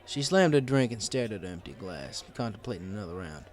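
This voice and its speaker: Wry male storyteller with lively pitch. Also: a little fast, some chatter behind. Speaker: audiobook narrator. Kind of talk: first-person scripted story narration.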